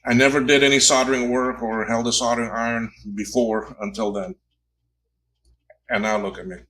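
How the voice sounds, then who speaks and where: A man's voice: medium pitch, level tone, moderate pace, a bit bright, poor audio. A man in his fifties chatting casually on a livestream while repairing old computers, rambling and correcting himself as he works. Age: 50s. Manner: rambling, self-correcting, talks while tinkering.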